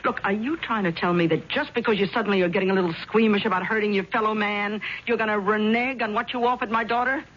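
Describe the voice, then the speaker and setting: Husky, scornful mother-in-law. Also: mid-range voice, steady tone, quick pace, few pauses, fairly bright tone. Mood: scornful, indignant. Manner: indignant, disdainful. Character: snobbish, scornful older mother-in-law, domineering and accusing. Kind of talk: 1970s radio drama acting. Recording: dull 1970s radio recording.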